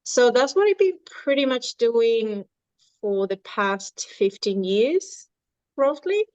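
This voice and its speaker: A woman in her thirties from Venezuela, a dietitian and nutrition coach, answering podcast questions in unhurried, halting speech with many restarts. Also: a little high, big pitch swings, darkish tone, no room sound, poor audio. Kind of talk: podcast interview.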